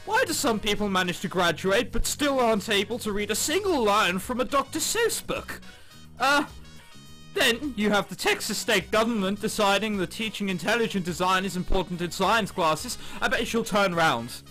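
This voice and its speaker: Male gaming commentator, bright high voice, giggly and excitable, reading game text over clear background music. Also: normal speed, low-quality recording. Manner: excitable, giggly, exaggerated reactions. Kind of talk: let's play commentary, reading visual novel text aloud.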